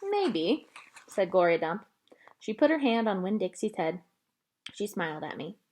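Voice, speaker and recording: A woman narrating a children's book aloud in an expressive, scripted storytelling style. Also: medium pitch, moderate pace.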